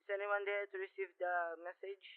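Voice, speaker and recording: Actress playing female telegram clerk, radio play, phone-filtered, dry sound, measured, businesslike, formal. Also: medium pitch, level tone, clear, resonant tone, no room sound. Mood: formal, detached. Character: businesslike telegram clerk reading a message aloud. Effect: telephone filter. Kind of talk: 1940s radio play acting. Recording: tinny narrow phone line.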